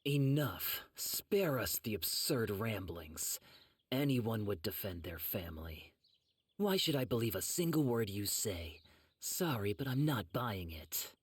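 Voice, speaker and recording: A man playing a cold, distrustful young swordsman in an anime game, speaking in a sharp-tongued, disdainful drawl. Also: on the low side, big pitch swings, measured pace, breathy edge, no room sound, exaggerated voice. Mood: dismissive. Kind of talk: English anime game voice acting.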